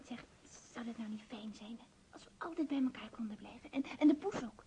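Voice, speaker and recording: Woman as a clingy, high-voiced dreamy child. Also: fairly quick, warm tone, close to an everyday voice. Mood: dreamy, pleading. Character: clingy young child pleading with Papa, dreamy and insistent. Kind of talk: radio play acting. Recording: muffled old radio recording, hiss.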